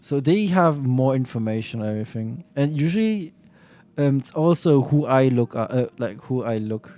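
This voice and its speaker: A man's voice: medium pitch, lively intonation, normal speed, dark tone. A man streaming and chatting casually about a game, rambling with frequent restarts and self-corrections.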